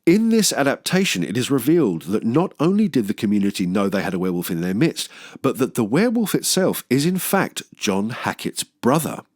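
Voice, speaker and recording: A man's voice: medium pitch, big pitch swings, a little fast, no echo, clean studio sound. A man hosting a film review show, moving between scripted analysis and wry, sweary, joking asides. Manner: wry, joking, sweary, acts out imagined quotes.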